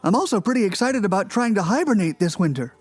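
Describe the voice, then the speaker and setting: Morose, earnest cartoon blogger played by a woman, raspy and animated in pitch, deadpan wit. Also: quick pace, dry recording, faint music behind. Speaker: performer in a podcast dramatic reading. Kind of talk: dramatic reading of a comic blog.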